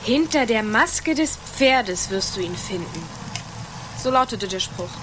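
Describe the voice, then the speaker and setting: A female performer playing a playful, curious, excitable medieval child in a German children's radio play. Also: on the high side, a little slow. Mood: sceptical. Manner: excitable, questioning. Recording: clear background ambience noise.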